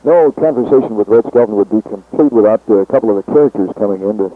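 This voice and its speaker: Man, radio interviewer, husky, lowish pitch, conversational, narrow phone-like tape, dry. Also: fast talker, no echo.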